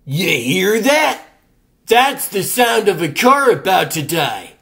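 A man voicing an excitable, jumpy teenage sidekick boy in an amateur comic-book dub. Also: high-pitched, slow, gravelly, heavily acted. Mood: wary. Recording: loud music under the voice. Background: loud music.

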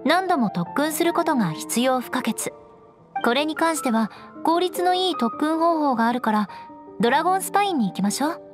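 High-voiced poised young noblewoman knight. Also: animated, over music. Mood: poised, instructive. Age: young adult. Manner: poised, measured, aloof feminine speech. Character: proud, composed young aristocratic female knight, coolly resigned. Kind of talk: Japanese anime game voice acting.

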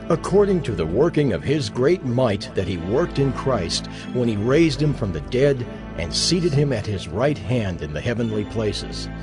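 Guy narrating the bible, solemn and measured, really expressive up and down, music clearly playing behind him. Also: medium pitch. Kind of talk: dramatized scripture reading, scripted narration. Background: music under the voice.

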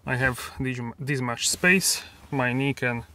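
Man talking casually inside a car. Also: medium pitch. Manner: relaxed, halting, many fillers and restarts. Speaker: car reviewer. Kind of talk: casual walkaround car review. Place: inside a parked car, then outdoors at a dealership lot. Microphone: handheld phone camera.

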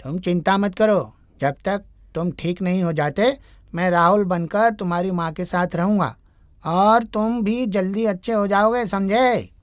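A woman voicing a kind, reassuring young magical man in an animated story. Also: mid-range voice, a little slow, dry recording, studio quality, lightly acted. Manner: calm, reassuring. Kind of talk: Hindi animated moral story acting.

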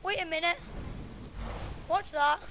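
An extremely high-pitched female voice comes through clear background noise. She is a co-player in a video game, making up roleplay lines as she goes on a home mic. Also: unhurried. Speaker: gamer co-playing a roleplay. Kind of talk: improvised roleplay chatter during gameplay. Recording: noisy home gaming mic.

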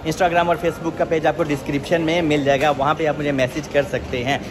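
A man's voice: on the high side, flat delivery, a little fast. A man, talking casually to viewers on his phone camera as he walks through a large supermarket, repeating "theek hai" often. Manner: chatty, addresses viewers as "janab", says "theek hai" often. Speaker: vlogger. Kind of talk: casual walk-through vlog. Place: large supermarket in a shopping mall, indoors. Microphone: handheld phone camera. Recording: noisy store background.

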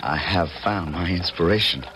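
An actor playing an earnest, awkward colonel in love, halting and formal, in a 1970s radio drama. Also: male voice, deep voice, bright, forceful tone. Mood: earnest, smitten. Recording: noisy 1970s radio recording.

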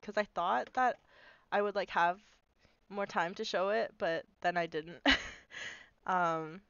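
A woman's voice: on the low side, takes it slowly, a bit bright, smooth, no echo, highs cut off. A young woman sounding nasal from a cold, casually rambling through a walkthrough of her animation with lots of fillers. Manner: rambling, filler-heavy, self-deprecating. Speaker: animator explaining her video. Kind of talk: casual screen-recorded walkthrough.

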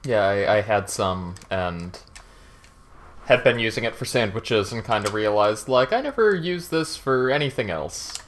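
A man's voice: lowish voice, lively intonation, measured pace, a bit bright. A man chatting casually as he plays a co-op speedrun at a gaming desk. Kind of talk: casual chat while gaming.

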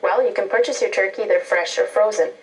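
A woman, giving clear informational tips as a spokesperson on an old TV tape. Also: medium pitch, fast. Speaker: turkey producers' spokesperson. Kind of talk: informational TV presentation. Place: indoor kitchen TV set. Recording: distant mic, old TV broadcast tape.

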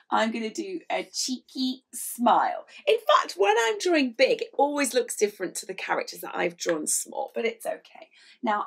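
A middle-aged woman leading a children's drawing lesson in a chatty, encouraging, animated way. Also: on the high side, gravelly. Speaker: illustrator leading a children's draw-along. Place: small indoor room at a whiteboard.

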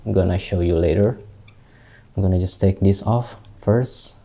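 A young Indonesian man with an Indonesian accent, a tattoo artist, unboxing gear in halting English, recorded on a lapel mic in a small studio. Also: deep voice, mellow. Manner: unhurried, halting non-native English with many fillers. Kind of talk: casual product unboxing.

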